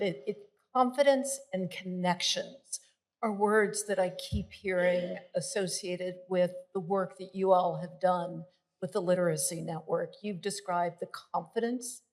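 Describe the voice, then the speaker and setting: A woman's voice: mid-range voice, fairly even intonation, measured pace, a little mellow. A woman moderates the evening in an encouraging, affirming way, passing the floor to each guest. Her voice carries a long reverb from the hall. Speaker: event host and interviewer. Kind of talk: moderating a live event. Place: event hall.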